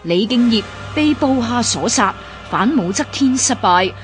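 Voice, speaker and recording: Hong kong cantonese lady reading a history script, formal but lively intonation, faint music under it. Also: a little high, a little slow. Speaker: radio narrator.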